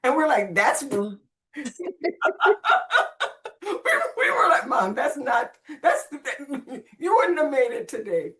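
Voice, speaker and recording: A woman's voice: high-pitched, big pitch swings, takes it slowly, a bit bright. A woman from the Caribbean, raised speaking Spanish, telling her life story conversationally and expressively as a podcast guest. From Caribbean, born on Saint Croix, raised in Puerto Rico.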